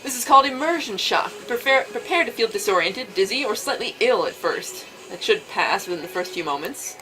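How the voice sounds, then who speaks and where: A woman's voice: medium pitch, animated, fairly quick, bright, forceful tone, low-quality recording. A woman voicing a stern lead developer giving formal, insistent instructions in a visual novel read-aloud. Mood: grave warning. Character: stern lead developer briefing virtual reality testers. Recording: faint background noise.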